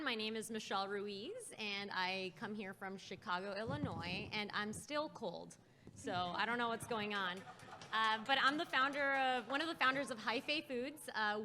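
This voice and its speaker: A woman startup founder introducing herself on a conference panel, lively and friendly. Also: medium pitch. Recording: long reverb from a hall.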